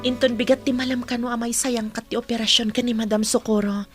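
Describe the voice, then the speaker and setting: A woman's voice: fairly high pitch, little pitch movement, quick pace, over music, close to an everyday voice. A woman with an Ilocano accent acting an observant, confiding friend in a radio drama.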